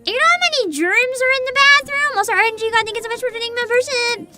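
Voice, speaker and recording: A woman livestreamer chatting casually with her viewers in an animated, exclamatory way. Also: very high-pitched, fast. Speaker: livestream host, VTuber. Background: music.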